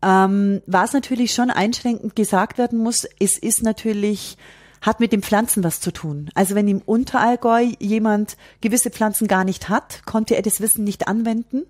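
A woman's voice: mid-range voice, normal speed, a little mellow. A middle-aged woman, explaining from experience as an interview guest, often using questions and quoted dialogue. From Bavaria, Germany. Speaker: podcast guest, herbalist.

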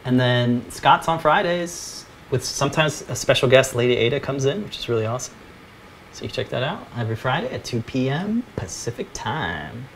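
Slightly hoarse man hosting casually. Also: mid-range voice, moderate pace, slight background noise. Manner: relaxed, rambling, laughs often. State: losing his voice. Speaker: co-host. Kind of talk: casual live-stream chat.

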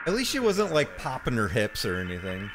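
A man's voice: mid-range voice, wildly swooping pitch, brisk, a crowd in the background. A man playing a co-op game with a friend, making laid-back, wisecracking comments over voice chat. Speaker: co-op gaming partner. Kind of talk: casual gameplay chat.

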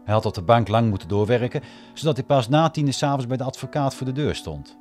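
A man's voice: mid-range voice, animated, quick pace, darkish tone, soft background music. A man narrating a radio drama from a script in formal storytelling prose.

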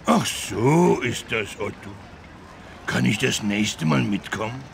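A man voicing a big, good-natured, childlike talking elephant with a gravelly, naive, wondering delivery in a children's cartoon game. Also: mid-range voice, expressive, slow pace, a crowd in the background, heavily acted. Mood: curious, friendly. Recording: street traffic noise behind the voice.

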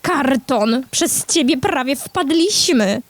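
A woman's voice: high-pitched, lively intonation, takes it slowly, dry recording. A woman voicing an emphatic, take-charge talking piece of rubbish who scolds and reassures in a children's audio drama. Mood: scolding.

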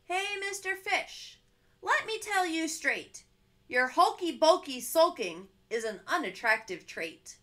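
A woman's voice: extremely high pitch, sing-song, takes it slowly, bright, forceful tone, pitched above the performer's own voice, heavily acted. A woman voicing a straight-talking male octopus who speaks frankly and firmly in a storybook reading.

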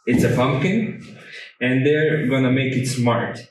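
A man's voice: mid-range voice, measured pace. Man hosting in a very echoey room. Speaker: host. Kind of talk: casual video introduction. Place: large vaulted workshop room indoors. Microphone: distant camera microphone. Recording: echoey room, distant mic, faint noise.

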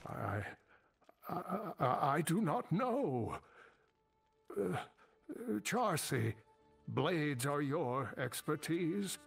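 An older actor playing a grave, weary old scholar and mentor in a video game, speaking in a solemn, halting way. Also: male voice, a little high, big pitch swings, vocal fry, husky, soft background music, full character voice. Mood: dismayed. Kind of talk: dramatic video game dialogue.

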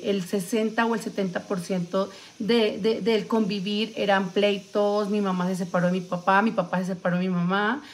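Chatty woman in her forties. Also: mid-range voice. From Colombia. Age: 40s. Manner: chatty, answers viewer comments as she talks, many fillers. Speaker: vlogger. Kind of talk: casual live-stream chat. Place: small room at home, indoors. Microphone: phone camera close up.

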